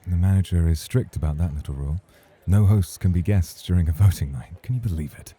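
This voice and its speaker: A man's voice: deep voice, a little fast, warm tone, slightly rough. A man acting in an ASMR roleplay as a suave, teasing club host, formal and flirtatious in his way of talking. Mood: playful protest.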